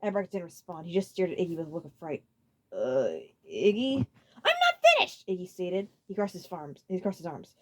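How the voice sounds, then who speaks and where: A woman reading a story aloud as a dramatic narrator, expressive, occasionally stumbling over a phrase. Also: fairly low pitch, quick pace, few pauses. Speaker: audiobook narrator.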